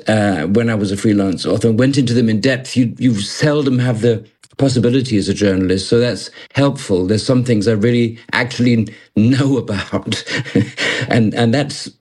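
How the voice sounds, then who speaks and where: A man in his sixties, born in London and raised in Malaysia, a journalist speaking as a guest in a halting, self-deprecating way with many restarts. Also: mid-range voice, darkish tone, husky. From born in London, grew up in Malaysia. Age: 60s. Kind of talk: conversational interview.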